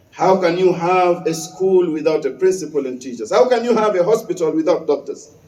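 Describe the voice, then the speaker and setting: Emphatic imam. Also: male voice, a little high, warm tone. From West Africa. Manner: emphatic, repeats key phrases. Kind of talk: Friday sermon. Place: mosque prayer hall. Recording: echoey mosque hall, distant mic.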